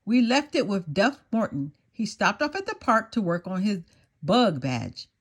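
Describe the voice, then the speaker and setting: A woman's voice: mid-range voice, very animated, measured pace, husky. A woman voicing a weary, well-meaning scout leader in a children's storybook, plain-spoken and emphatic. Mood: exhausted.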